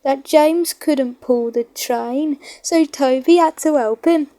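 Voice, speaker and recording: Lady doing a little kid boy, eager train watcher, high voice, storybook style, bit of a know it all. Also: slow pace, dark tone, no room sound. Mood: excited, knowing. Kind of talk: children's storybook character voice.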